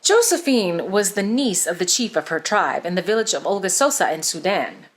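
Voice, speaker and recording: A woman reading a scripted children's story aloud as narrator, expressive and deliberate, with emphasis on key words. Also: lowish voice. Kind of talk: scripted read-aloud narration of a saint's life.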